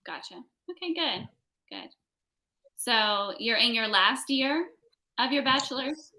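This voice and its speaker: Woman, American accent, twenties, online English tutor, unhurried, bright voice, casual conversation. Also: on the high side, expressive. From United States. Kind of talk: casual one-on-one conversation lesson.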